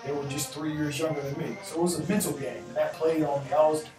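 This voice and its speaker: A man in his thirties talking straight to camera, answering viewer emails with animated gestures and repeating phrases for emphasis. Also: fairly high pitch, fast. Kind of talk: casual advice talk to camera. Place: indoor room in front of a chalkboard backdrop. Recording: echoey room, voice a bit far off, faint music.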